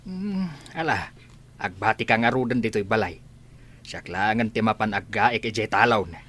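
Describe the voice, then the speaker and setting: Bossy village father, sharp and animated man acting. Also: lowish voice, moderate pace. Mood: gruff, scolding. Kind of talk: Ilocano radio comedy drama acting.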